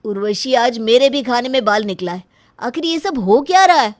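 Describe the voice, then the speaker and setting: A woman's voice: a little high, lively intonation, moderate pace, no echo, close to an everyday voice. A woman voicing a dry-sounding, coaxing son. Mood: questioning. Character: reasonable adult son and husband.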